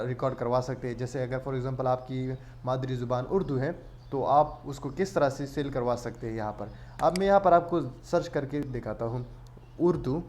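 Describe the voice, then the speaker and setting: A man's voice: medium pitch, a little mellow. Man explaining a tutorial, noisy recording. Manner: explanatory, mixes English words into speech. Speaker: online tutorial host. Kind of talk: casual screen-share tutorial narration.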